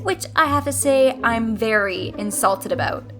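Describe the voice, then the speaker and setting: A woman's voice: on the high side, animated, unhurried, over loud music. A woman playing a cheeky, wisecracking sidekick, flippant and wry, in a comic sci-fi audio drama. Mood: wry, teasing.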